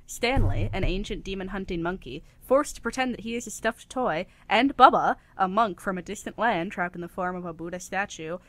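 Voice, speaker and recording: A woman's voice: mid-range voice, a bit bright, no echo. Woman announcing a radio serial, dry sound. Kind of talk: scripted dramatic recap narration.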